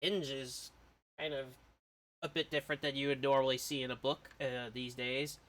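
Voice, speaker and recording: Bright-voiced man casually streaming a game. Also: mid-range voice, flat delivery, normal speed. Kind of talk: casual live playthrough commentary.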